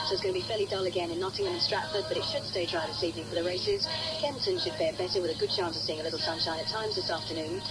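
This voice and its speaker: A woman's voice: lowish voice, poor audio. A woman with a British accent reading a scripted weather bulletin on the radio. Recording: old radio broadcast. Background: loud music.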